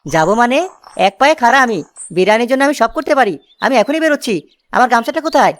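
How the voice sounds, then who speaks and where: A woman's voice: fairly high pitch, very animated, racing. A woman voicing a lazy, gluttonous young village man in a cartoon story, whining and carefree. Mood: eager. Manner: whining, carefree, dreamy about food.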